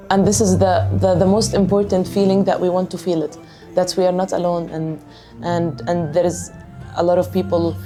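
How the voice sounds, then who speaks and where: Woman from Gaza, Palestinian Arabic accent, halting and earnest, mellow voice, faint music behind. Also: lowish voice. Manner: halting, earnest, many repeated words and restarts. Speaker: singer of a music band. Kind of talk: interview answers.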